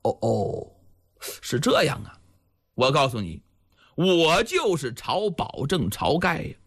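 A man's voice: a little high, very animated, slow pace, a bit bright, slightly rough, pitched below the performer's own voice, heavily acted. A man voicing a bold, crafty village headman with a commanding, theatrical manner in a storytelling performance. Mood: calm, sly. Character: Chao Gai, bold crafty village headman, feigning an angry uncle.

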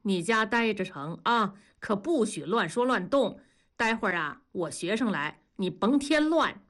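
A woman voicing a bossy, sharp-tongued wife in an audio story, with brisk, mocking, colloquial northern Chinese speech. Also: medium pitch, lively intonation.

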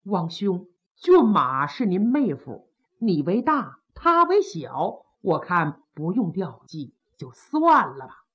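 A woman voicing a grieving noble widow who speaks low, quiet and clipped. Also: very animated, takes it slowly, slightly rough, highs cut off, heavily acted. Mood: evasive.

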